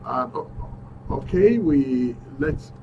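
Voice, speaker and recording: A man's voice: mid-range voice, expressive, slow, far from the mic, lo-fi sound, slight background noise. A Nigerian man hosting a live call-in radio show from the studio, upbeat as he reads out messages and phone numbers. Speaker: radio talk show host.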